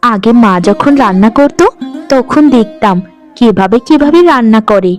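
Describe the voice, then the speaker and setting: Gentle young bride, woman acting, high female voice, meek, polite, cartoon drama, faint music. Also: normal speed, close to an everyday voice. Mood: polite, caring. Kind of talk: Bengali fairy-tale cartoon dubbing. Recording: background music under the voice.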